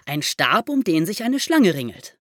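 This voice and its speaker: A woman's voice: fairly low pitch, very animated, a little slow, dry recording, clean studio sound. A woman taking part in a podcast, reacting and asking short questions in casual chat.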